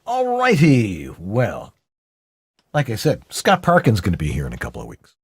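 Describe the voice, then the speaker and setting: A man co-hosting a talk show with a husky voice, chatting in a loose, rambling way. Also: lowish voice, wildly swooping pitch, normal speed. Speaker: co-host. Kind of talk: casual show chat.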